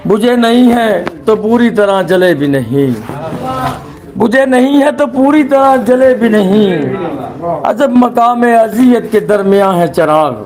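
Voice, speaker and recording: A man's voice: high-pitched, gravelly, a little mellow. A man hosting a poetry gathering, formally introducing a poet and reciting verse in a declamatory way. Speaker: mushaira master of ceremonies.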